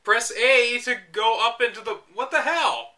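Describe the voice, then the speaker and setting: A man's voice: very high voice, a little slow, sharp tone. A man reading a game guide aloud and breaking off for wry, exasperated asides. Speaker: reader and commentator. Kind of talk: reading a book aloud with sarcastic commentary.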